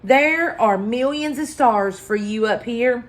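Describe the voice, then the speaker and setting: A woman reading a storybook, voicing a lonely, hopeful moon who calls out gently and encouragingly. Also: a little high, slow. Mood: inviting. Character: lonely, hopeful moon, queen of the night sky. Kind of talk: children's picture-book storytelling.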